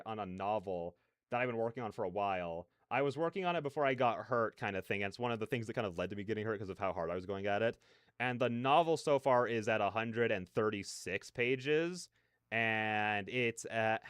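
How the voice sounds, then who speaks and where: Clear-voiced man rambling casually on a livestream. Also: mid-range voice, no room sound, studio quality. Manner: scattered, self-interrupting, drops playful nonsense filler sounds. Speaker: livestreamer, host. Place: large, fairly empty room with soundproofing panels.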